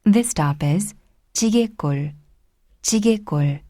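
Woman announcer for recorded subway stops; unhurried, mellow, formal, dry booth sound. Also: medium pitch, lively intonation, no echo. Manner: slow, clear, formal.

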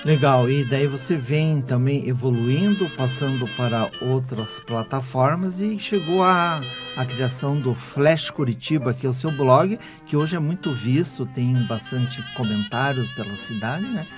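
A man's voice: medium pitch, measured pace, few pauses. A man in his sixties with a Brazilian accent, hosting a radio interview on a studio microphone. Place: small foam-padded radio studio. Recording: music behind the voice. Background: music.

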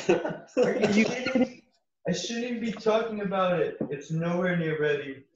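Dim, self-satisfied male model, acted by a man, highish voice, heavily echoing, noisy call audio. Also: steady tone. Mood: earnest vanity. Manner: slow-witted, self-satisfied, earnest. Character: vain, dim-witted young male model. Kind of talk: amateur comedy table read. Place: home, on a group video call. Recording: echoey, muffled, noisy video call.

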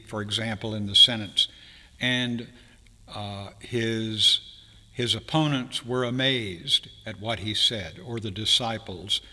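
Slow, deliberate older preacher in echoing hall. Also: male voice, mid-range voice, bright, forceful tone. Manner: deliberate, halting phrasing with pauses mid-sentence. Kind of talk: sermon, explanatory teaching. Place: church sanctuary, speaking from a pulpit. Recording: reverberant hall, long echo.

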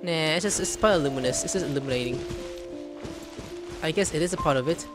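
A man's voice: fairly high pitch, fast talker. A man streaming a game with casual commentary, playful and slangy, often exclaiming. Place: indoor room, webcam gaming setup. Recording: game music behind the voice.

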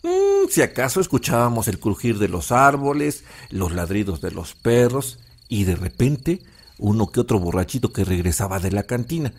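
An actor playing a gentle old Mexican village grandfather who soothingly tells ghost legends in an audio drama. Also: on the low side, sing-song, moderate pace, husky, heavily acted. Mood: nostalgic storytelling. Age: older.

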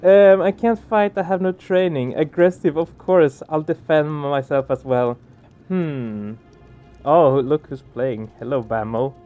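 Higher-pitched man doing game commentary. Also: a little slow, phone-quality sound. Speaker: let's play streamer. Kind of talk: casual gaming commentary, reading game text aloud. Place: small room indoors. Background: game music.

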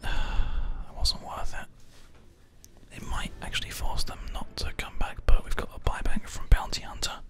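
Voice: measured pace, fairly bright tone. A person whispering ASMR commentary over video gameplay, close to a binaural microphone. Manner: whispering throughout. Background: keyboard typing and mouse clicks.

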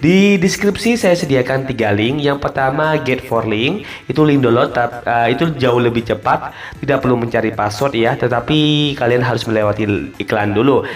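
A man's voice: mid-range voice, normal speed. A man giving a casual, step-by-step app tutorial voice-over, informally repeating instructions and drawing out the ends of phrases. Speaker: tutorial host. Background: music.

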